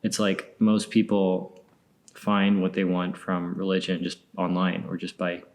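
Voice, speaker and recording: A man hosting a podcast, musing in a relaxed, casual way with many "like"s and "you know"s, inside an empty church. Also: low-pitched, unhurried. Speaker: podcast host. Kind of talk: casual conversation. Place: large empty church sanctuary.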